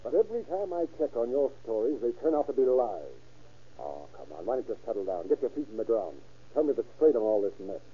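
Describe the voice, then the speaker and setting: An actor playing a hard-nosed, impatient sheriff questioning people in an old-time radio crime drama. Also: male voice, medium pitch, big pitch swings, quick pace, slightly rough. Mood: stern. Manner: blunt, pressing, folksy. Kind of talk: 1930s-1940s radio acting. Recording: scratchy, tinny old radio recording.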